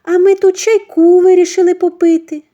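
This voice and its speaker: A woman voicing a pushy, self-pitying older mother-in-law who talks in a high, wheedling tone. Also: very animated, a little slow, dark tone, dull audio. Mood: flustered. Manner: shrill, wheedling, high-pitched.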